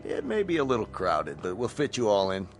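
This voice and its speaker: An actor playing a courteous, businesslike colonel in command of a rescue spaceship, measured and official, in a radio drama. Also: male voice, lowish voice, wildly swooping pitch. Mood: brisk, official. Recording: radio drama with faint music behind.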